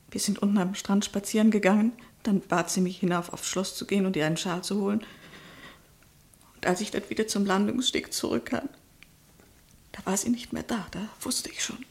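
An actress in a German radio drama playing a countess's anxious, grieving friend, speaking in a hushed, earnest, distressed way. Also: female voice, medium pitch, creaky, close to an everyday voice.

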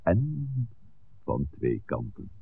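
Shrewd, genial old priest, swooping expressively, slyly persuasive, on a tinny old radio recording. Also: male voice, a bass voice, huge pitch swings, creaky, slight background noise, full character voice. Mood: sly, measured. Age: older. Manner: deliberate, eloquent, theatrical. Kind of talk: 1960s Dutch radio play acting.

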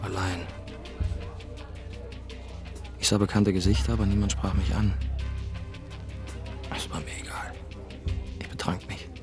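Male actor, troubled narrator-protagonist of a radio drama, low register, brooding and self-mocking, music underneath. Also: little pitch movement, moderate pace, lightly acted. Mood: bewildered, bleak. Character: troubled, self-mocking man recounting strange misunderstandings. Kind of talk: German radio drama acting, inner monologue.

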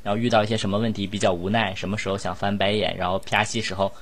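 A male voice actor chatting casually in a blunt, animated and exclamatory way. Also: lowish voice, very fast. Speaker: voice actor in an audio drama. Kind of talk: casual chat.

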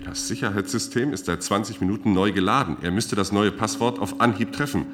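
An actor playing a resigned, matter-of-fact security operator in a radio drama, dry and factual in delivery. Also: male voice, fairly low pitch, brisk, noisy background, close to an everyday voice. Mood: factual, tense. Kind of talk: German radio drama acting. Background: music.

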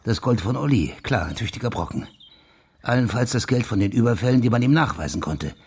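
Police official for crime radio drama: very husky, gravelly, mid-pitched, insistent explainer. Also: male voice, expressive, a little fast, darkish tone. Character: police official laying out his grim theory of a crime.

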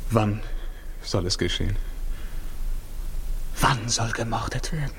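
A man in a 1950s radio drama playing a desperate, disbelieving young father, speaking in a halting, intense, anguished way. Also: lowish voice, a bit bright. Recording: noisy vintage 1950s radio recording.

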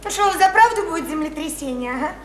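A woman's voice: high-pitched, expressive, fast. An actress in a Russian radio play playing a naive, chatty, insistent provincial woman, eager and coaxing, with colloquial, repetitive talk. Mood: coaxing, admiring. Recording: roomy, slightly noisy radio play recording.

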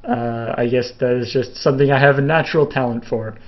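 A man's voice: medium pitch, fairly even intonation, a little fast, highs cut off. A young man from Canada, chatting casually as a video host and answering viewer questions in a rambling way, with lots of fillers and restarts. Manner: rambling, many fillers and restarts, laughs a little. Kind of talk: casual live Q&A chat.

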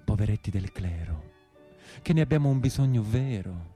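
Casting: solemn southern Italian village priest, preachy and threatening, drawn-out declamation with big melodic swings, radio drama. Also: male voice, lowish voice, animated, mellow, slightly rough, faint music behind. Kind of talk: theatrical monologue in a radio play.

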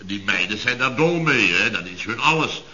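A man's voice: lowish voice, lively intonation, normal speed, sharp tone. An actor playing a blustering, indignant boss of a building firm in a radio comedy, stammering and repeating himself as he rambles. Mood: scornful. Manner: stammering repetitions, sputtering, rhetorical tags. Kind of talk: 1970s radio comedy acting. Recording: dull vintage radio recording.

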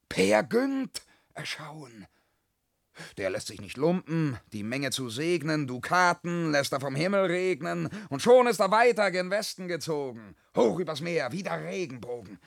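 An actor playing a dreamy, boastful, restless peasant lad in a radio play, with rough voice and declamatory delivery that swings from brooding murmur to exuberant cries. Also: male voice, a little high, lively intonation, measured pace, dry recording, clean studio sound. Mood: dreamy, grandiose. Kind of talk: theatrical radio drama acting in verse.

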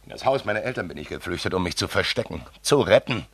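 Husky, tormented young diarist. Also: male voice, low voice, lively intonation, full character voice. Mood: anguished, accusing. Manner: agitated, halting, repetitive, theatrical declaiming. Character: tormented young male amateur writer taping an audio diary. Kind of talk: dramatic monologue in an audio drama.

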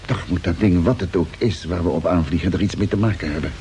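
An actor playing a sober, pessimistic spaceship crewman, measured and gloomy in vintage radio drama style. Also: deep voice, warm tone, slight background noise.

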